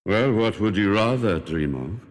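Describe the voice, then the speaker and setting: An actor with a foreign accent playing an old, mysterious telepathic man who talks slowly and formally. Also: on the low side, expressive, in a large hall. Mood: gentle. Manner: slow, measured, formal speech.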